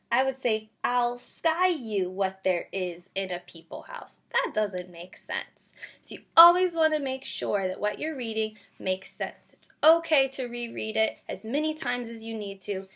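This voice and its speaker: A woman with a fairly high voice speaks as an elementary teacher leading a lesson for young children. She is instructive, repeating key points and asking guiding questions, and she stretches words out for her listeners. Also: vocal fry, a bit bright. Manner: stretches out and sounds out words slowly. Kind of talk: read-aloud phonics lesson for young children.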